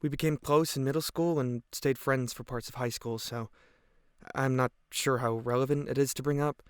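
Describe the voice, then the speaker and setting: An actor playing an anxious, wistful high school boy, speaking hesitantly and earnestly in a naturalistic audio drama. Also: fairly even intonation, moderate pace, smooth, no echo, clean studio sound, close to an everyday voice.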